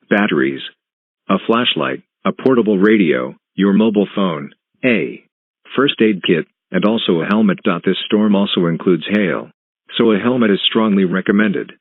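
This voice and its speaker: Male emergency alert announcer: low pitch, formal measured delivery, tinny phone-like sound. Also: no room sound. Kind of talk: scripted emergency weather warning announcement. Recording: narrow, phone-like band.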